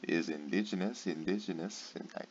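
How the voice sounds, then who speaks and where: A man's voice: low voice, moderate pace. A tired man reading aloud late at night, stumbling over words and breaking off for sarcastic, swearing asides. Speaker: channel host. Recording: dull lo-fi with faint noise.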